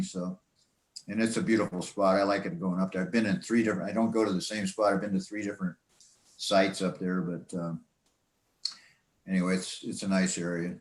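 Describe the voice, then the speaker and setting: An older American man with a low-pitched voice talks through a slideshow in a roomy, echoing recording. His delivery is informal and conversational, wandering through memories with halting pauses and asides. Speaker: speaker presenting to a radio club. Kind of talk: informal slideshow talk, reminiscing. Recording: echoey, roomy sound.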